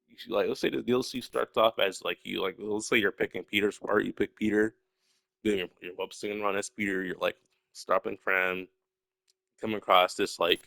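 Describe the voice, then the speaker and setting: A man's voice: deep voice, vocal fry, sharp tone, no room sound. A young man with a stutter, talking casually and at length as a solo video creator, full of fillers and restarts. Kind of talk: rambling casual monologue.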